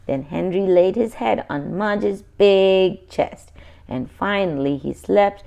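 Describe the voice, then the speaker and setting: A woman's voice: medium pitch, slow pace, creaky, dark tone, dull audio. A woman reading a children's picture book aloud expressively, adding simple asides for young listeners.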